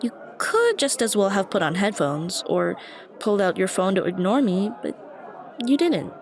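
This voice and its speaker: Cafe chatter surrounds a shy, dorky young woman, played by a female voice actor. She speaks straight to the listener in an earnest, self-conscious ramble, hesitating as she goes. It is an intimate ASMR roleplay at a middling pitch. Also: sing-song, normal speed. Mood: earnest, grateful. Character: shy, dorky young woman, a friendly coffee shop regular. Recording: busy cafe chatter behind the voice. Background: coffee shop crowd ambience.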